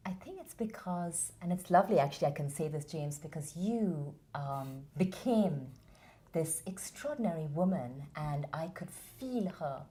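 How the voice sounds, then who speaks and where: Earnest woman, low warm voice. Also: animated. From India. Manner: earnest, measured, passionate. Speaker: interview guest, founder of a theatre academy. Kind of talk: reflective interview answers.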